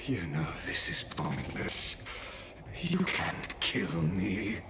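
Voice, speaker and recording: An actor playing a smug, mocking game villain who taunts with theatrical false courtesy. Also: male voice, sits low, very animated, very slow, very noisy, exaggerated voice. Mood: dismissive. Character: smug, mocking male villain, coolly deferential jailer. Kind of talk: dramatic scripted video game acting. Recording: loud music behind the voice. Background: game soundtrack music.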